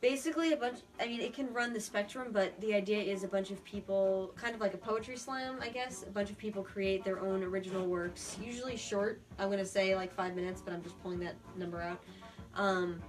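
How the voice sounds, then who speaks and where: A woman's voice: medium pitch, fairly even intonation, a little fast. An American woman talking casually as an interview guest, earnest and a little rambling and self-effacing. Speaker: interview guest, puppeteer and theater artist. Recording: distant mic with music behind.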